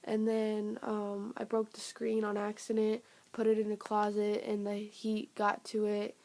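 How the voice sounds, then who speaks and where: A young woman reviewing a phone software update in a rambling, casual way, her voice raspy and sniffly from a cold. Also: medium pitch, monotone, measured pace. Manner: rambling, filler-heavy, trailing off. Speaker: tech vlogger.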